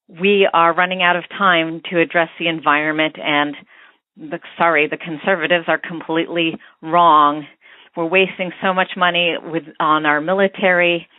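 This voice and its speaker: Female American caller, tinny phone line, dry sound, medium pitch, informal and halting, thinking through her opinions aloud. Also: no room sound. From United States. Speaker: podcast listener leaving a voicemail. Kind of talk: informal spoken opinion message.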